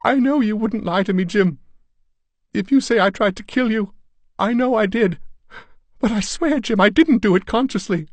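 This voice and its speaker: A man's voice: mid-range voice, steady tone, slow, hardly any pauses, darkish tone, husky, dry recording, pitched above the performer's own voice. A man voicing a hysterical young wife, pleading shrilly and frantically. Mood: desperate.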